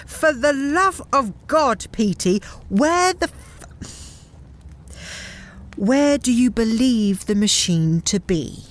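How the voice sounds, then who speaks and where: A woman's voice: a little high, lively intonation, slow, long pauses. A woman playing a tough, exasperated captain in a sci-fi audio drama, sarcastic and emphatic. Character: tough, sweary, exasperated spaceship captain heroine.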